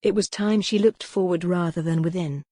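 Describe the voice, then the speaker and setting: Low-pitched woman narrating an audiobook. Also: fairly even intonation, normal speed, warm tone, no echo, clean studio sound. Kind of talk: scripted dramatic narration of historical romance.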